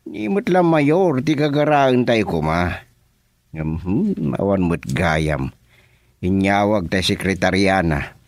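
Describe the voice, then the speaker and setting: Excitable Ilocano villager, swooping pitch. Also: male voice, slow pace, no echo, studio quality. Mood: disappointed, complaining. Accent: Ilocano Filipino. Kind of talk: Ilocano radio comedy drama acting.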